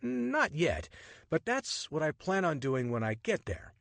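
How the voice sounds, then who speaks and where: A man's voice: a little high, very animated, a little slow, gravelly, a bit bright, dry recording, pitched above the performer's own voice, exaggerated voice. A man voicing a bold, chatty young adventurer with a breezy, persuasive manner. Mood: enthusiastic.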